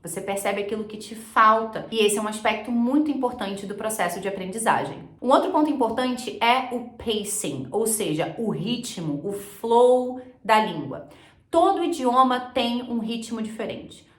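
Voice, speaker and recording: A woman's voice: on the high side, lively intonation, moderate pace, echoey room. A Brazilian woman giving a conversational, explanatory language lesson, mixing English terms into her speech as she instructs. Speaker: English teacher and video host.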